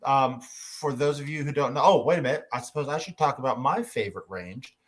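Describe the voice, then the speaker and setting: A man hosting a fan panel in casual conversation, with a hesitant, halting delivery. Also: mid-range voice.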